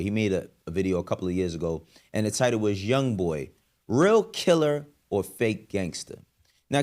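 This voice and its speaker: A man with a fairly deep voice and a New York accent hosts a casual commentary. He talks emphatically in street slang, swears freely and keeps throwing out rhetorical questions as he argues his view. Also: a little mellow. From the Bronx, New York. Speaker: commentary show host.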